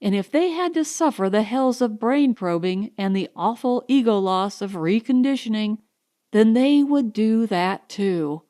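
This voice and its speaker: A woman narrating a science fiction audiobook in a measured, deliberate, dramatic way. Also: on the high side, animated, warm tone.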